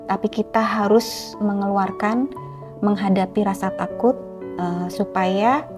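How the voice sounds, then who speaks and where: A middle-aged woman speaking earnestly and deliberately as an interview guest, explaining her personal experience. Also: mid-range voice, steady tone, music in the background. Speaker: author.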